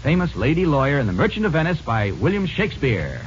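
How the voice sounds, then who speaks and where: A man's voice: fairly high pitch, very animated, quick pace, muffled recording, slight background noise. A man hosting a 1940s radio quiz show, bantering chattily and teasing the contestants, on an old radio recording. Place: radio studio with audience.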